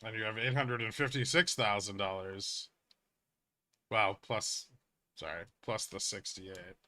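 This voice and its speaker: Bright-voiced man, blunt livestream host. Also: fairly low pitch, fairly even intonation, unhurried. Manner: blunt, swearing, emphatic explaining. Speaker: livestream host, trading educator. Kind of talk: casual livestream chat, answering viewer questions.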